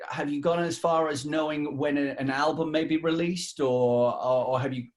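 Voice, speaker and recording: A man's voice: on the high side, steady tone, normal speed. A man hosting a casual radio interview, asking chatty, rambling questions.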